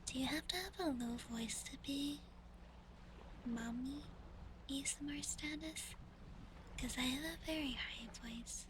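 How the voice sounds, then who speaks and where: A woman with a high voice whispers breathily as she chats in a casual ASMR style. She is playful and self-deprecating. Ambient noise is clearly audible behind her. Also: measured pace, long pauses. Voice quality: whispery, breathy soft speech. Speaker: ASMR streamer. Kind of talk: ASMR, casual chat with listeners.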